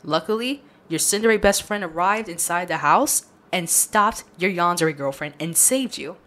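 A woman's voice: on the low side, lively intonation, moderate pace. A woman storyteller hosting and reading a scripted story recap addressed directly to the listener. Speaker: channel host and storyteller. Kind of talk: scripted second-person story recap narration. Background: loud music.

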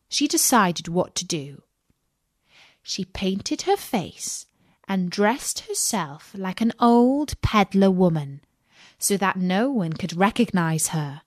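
Animated, unhurried woman storyteller. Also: mid-range voice, no echo, clean studio sound. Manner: measured, expressive storytelling. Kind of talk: scripted children's audiobook narration.

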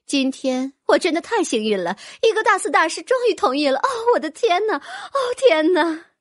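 A woman's voice: extremely high pitch, sing-song, normal speed, no room sound, studio quality, voice pushed up. A woman voicing a fussy, fawning rich noblewoman who gushes with exclamations. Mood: fawning, gushing. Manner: gushing, exclamatory, wheedling.

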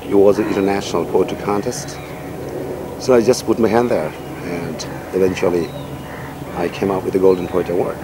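A middle-aged man whose first language is Nepali speaks in a very low, grounded voice. He answers in a measured, reflective way, pausing often on "you know". The recording was made outdoors in a garden, with clear background noise throughout. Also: level tone. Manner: measured, thoughtful, many fillers like "you know". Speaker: poet and writer, interview guest.

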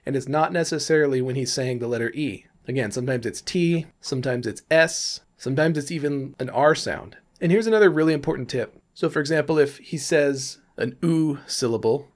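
A man giving informal, explanatory tutorial narration in an easygoing, loose way. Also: mid-range voice. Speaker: tutorial presenter, animator.

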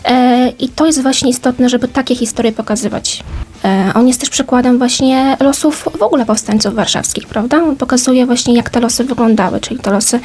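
A woman with a fairly high voice answers as an interview guest. She explains things informatively and conversationally, like an educator presenting a project. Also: a little fast, darkish tone.

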